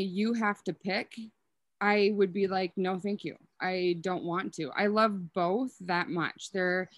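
A woman's voice: medium pitch, no room sound. Dry-sounding chatty woman in her thirties. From Minnesota, USA. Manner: chatty and upbeat, with frequent fillers. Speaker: podcast guest. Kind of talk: casual conversational interview.

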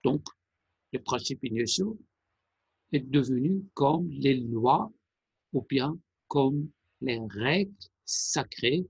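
Male presenter, measured and hesitant, husky low-energy delivery with a dry sound. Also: animated, no echo, muffled recording. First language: Persian. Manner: deliberate, halting phrasing with pauses. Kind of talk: explanatory monologue.

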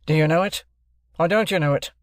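A man voicing a bullying, sarcastic gentleman who cross-examines in a hectoring, authoritative manner for an audiobook. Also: fairly high pitch, moderate pace, dry recording, studio quality, close to an everyday voice. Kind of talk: classic novel narration, character voice.

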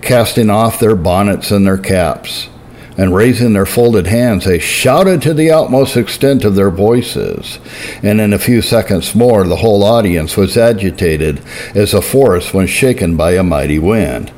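A man giving a solemn, deliberate reading of historical religious writing, slowing down to stress key phrases. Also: fairly low pitch, a little mellow. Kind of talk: measured scripted reading.

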